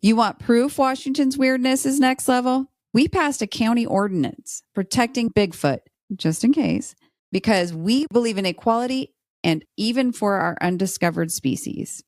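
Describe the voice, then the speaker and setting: A woman's voice: on the high side, moderate pace, darkish tone, dry recording, studio quality. A woman podcaster appearing as a guest, playfully arguing her case and chatting casually. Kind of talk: playful debate argument and casual chat.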